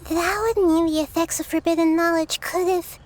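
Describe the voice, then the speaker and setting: A woman's voice: very high-pitched, steady tone, a little hiss or hum. A woman voicing a wise, caring childlike girl deity in an anime-style video game, with an earnest and assured manner. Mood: compassionate, earnest. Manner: measured, earnest, gently assured.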